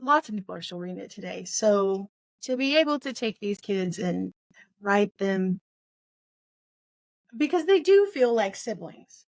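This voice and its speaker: Woman podcast co-host, forties, casual book talk, halting and reflective delivery, close dry recording. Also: mid-range voice, animated, dry recording, low-quality recording.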